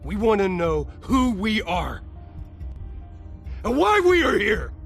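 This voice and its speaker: A menacing, volatile soldier villain from a video game. He is intense and emphatic, with a raised voice and extremely animated pitch over some background music. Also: slow, gravelly, exaggerated voice. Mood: bitter, demanding. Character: obsessed, volatile soldier gone rogue, adult man, menacing. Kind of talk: dramatic video game cutscene acting.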